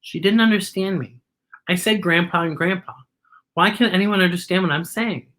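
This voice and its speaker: A woman's voice: fairly low pitch, moderate pace, a bit bright, close to an everyday voice. A woman voicing a timid, upset little girl in a storybook, pleading and insistent. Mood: tearful.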